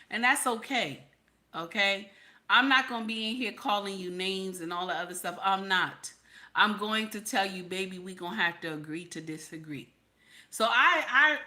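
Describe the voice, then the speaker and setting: A woman talks straight to her livestream audience in a bright, forceful voice. She is emphatic and reassuring, circles back to the same points, and keeps tagging her lines with "okay?" Her tone stays casual and unpolished, with the odd curse. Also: mid-range voice. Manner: reassuring, frank and conversational, with some swearing. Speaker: livestream host. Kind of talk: casual livestream talk to viewers.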